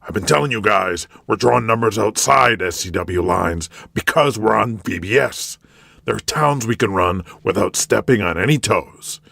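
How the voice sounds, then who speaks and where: A man's voice: lowish voice, expressive, normal speed, creaky, pushed and bright, no echo, exaggerated voice. A man voicing a foul-mouthed, hot-headed wrestling company owner in an audio drama. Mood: sarcastic, griping.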